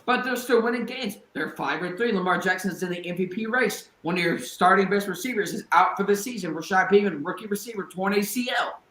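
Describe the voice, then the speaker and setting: A man co-hosting a sports talk show, ranting with fired-up energy and breaking into shouts. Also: high voice, brisk, few pauses, echoey room, rough recording. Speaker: podcast co-host.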